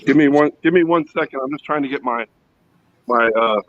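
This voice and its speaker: A man's voice: medium pitch, brisk, a bit bright, no room sound. A man in his fifties chatting easygoing on a livestream call from his phone. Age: 50s. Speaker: livestream guest. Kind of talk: casual chat. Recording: dry, dull phone audio.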